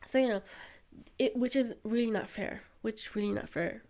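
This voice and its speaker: A woman's voice: fairly high pitch, steady tone, normal speed, a little mellow. A young woman who is sick, hosting a solo podcast and giving her opinions in an earnest way. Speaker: podcast host.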